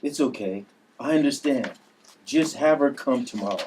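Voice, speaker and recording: A man's voice: medium pitch, animated, normal speed, darkish tone, a little hiss or hum, close to an everyday voice, low-quality recording. A man at a table read playing an earnest uncle, a recovering addict who now trains his nieces to fight. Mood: calm, firm.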